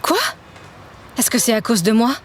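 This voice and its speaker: An actress playing a sweet, grateful young university student in an audio drama, polite and friendly. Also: female voice, fairly high pitch, expressive, moderate pace, slight background noise, natural voice. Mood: concerned.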